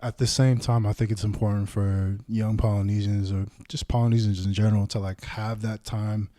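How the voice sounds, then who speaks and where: A man's voice: on the low side, little pitch movement, fairly quick, mellow, dry recording. A young man who grew up in Samoa, a podcast guest talking in a thoughtful, laid-back way, with lots of casual fillers. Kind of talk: casual chat.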